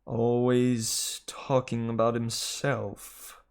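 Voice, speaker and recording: Male storybook snake, acted by an audiobook reader, raspy, drawn-out, grumbling, sarcastic. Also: medium pitch, monotone.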